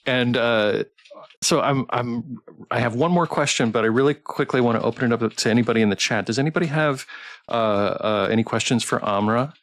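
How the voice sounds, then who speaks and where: Guy interviewer chatting on a livestream, encouraging, middle pitch, no room sound at all. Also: a little fast, dry recording. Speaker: stream host, interviewer.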